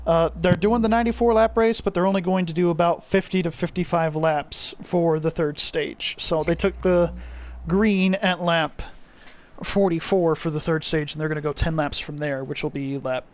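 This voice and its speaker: A man's voice: a little high, steady tone, normal speed. A young man giving loose, chatty live commentary on a sim race and reading viewer comments aloud.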